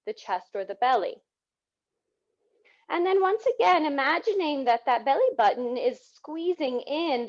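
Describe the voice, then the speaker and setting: A woman teaching yoga, guiding breathing in a soothing, gentle manner with unhurried phrasing. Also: fairly high pitch. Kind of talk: guided yoga breathing instruction. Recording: dull, muffled lo-fi sound.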